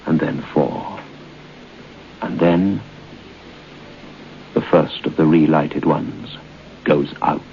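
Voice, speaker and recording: An ominous storyteller of radio suspense, his voice very low and gravelly. He doles out the scripted narration in deliberate short phrases. The old broadcast recording is noisy. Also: steady tone, muffled recording, exaggerated voice.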